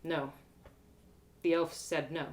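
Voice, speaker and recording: A woman's voice: deep voice, little pitch movement, slow pace, a little mellow, a little hiss or hum. A woman voicing an earnest, sensitive young woman adventurer in a fantasy story, speaking plainly. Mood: downcast.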